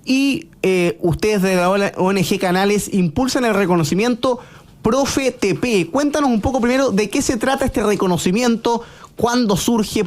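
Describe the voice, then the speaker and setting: A man with a Chilean Spanish accent hosting a radio interview, asking questions in bursts and rephrasing them as he goes. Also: sits high. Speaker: radio host.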